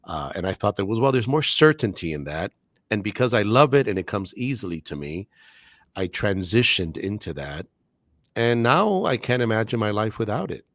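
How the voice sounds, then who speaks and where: A man's voice: on the low side, very animated, moderate pace, dry recording, clean studio sound. A middle-aged man, a native Spanish speaker and English teacher, speaking articulately with careful diction in a casual podcast interview.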